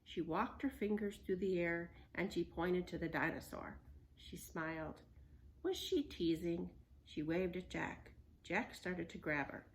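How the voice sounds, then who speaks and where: A woman's voice: on the low side, unhurried, a little hiss or hum, low-quality recording. A woman storyteller reading a children's book aloud.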